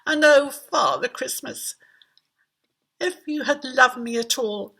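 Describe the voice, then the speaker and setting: A lonely storybook king, played by a woman reading aloud, full of childish longing and self-pity. The delivery is slow, pleading and dramatic, with a husky edge to the voice. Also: sits high, bright, forceful tone, pitched above the performer's own voice, full character voice. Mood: glum longing. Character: lonely, childishly wishful bad king, hopeful and self-pitying.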